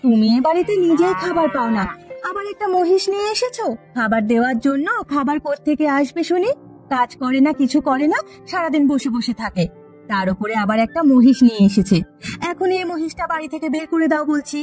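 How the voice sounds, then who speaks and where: A woman's voice: high-pitched, animated, fairly quick, darkish tone, faint music behind. A woman voicing a nagging, bossy, money-minded village wife in a cartoon, with a scolding manner. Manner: scolding, complaining. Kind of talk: Bengali cartoon story dialogue.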